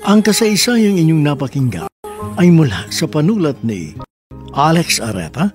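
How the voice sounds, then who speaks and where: A man's voice: on the high side, very animated, normal speed, a little mellow. A man announcing radio drama credits and a sign-off in a formal scripted style. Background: music.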